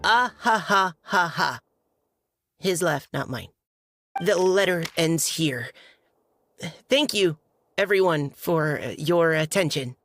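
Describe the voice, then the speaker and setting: A woman voicing a shy, earnest young boy soldier in an anime-style game, soft and boyish, with a hesitant, polite manner. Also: lowish voice, expressive, slow, pauses often, a little hiss or hum, full character voice. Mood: formal, earnest.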